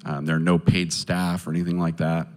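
Low-voiced man giving an earnest testimony. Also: monotone, brisk, a little mellow, slight background noise. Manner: earnest, hesitant, many fillers and restarts. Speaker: speaker sharing testimony and orphanage appeal. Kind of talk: casual testimony talk to a live audience.

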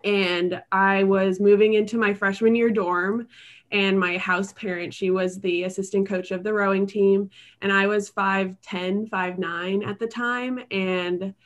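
A woman's voice: mid-range voice, fairly even intonation. A woman from the Bahamas, a guest on an interview, talking in a friendly, upbeat way. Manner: upbeat and friendly, quick to laugh. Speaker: guest, past club president. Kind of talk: casual interview chat.

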